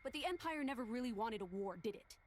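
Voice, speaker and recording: An actress playing a gentle, serious young woman with a soft-spoken, probing manner in a video game. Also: fairly high pitch, expressive, fast talker, slight background noise, natural voice. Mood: questioning. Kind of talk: video game cutscene acting.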